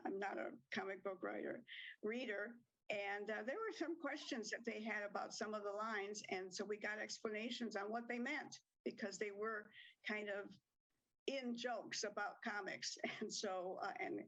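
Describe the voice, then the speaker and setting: A woman's voice: mid-range voice, fairly bright tone, poor audio. A woman who directs community theatre, answering interview questions hesitantly with many fillers and small laughs.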